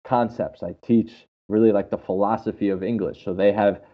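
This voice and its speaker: Low-voiced man explaining casually, muffled sound. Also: steady tone, moderate pace. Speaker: English teacher. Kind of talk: casual conversational explanation with anecdotes.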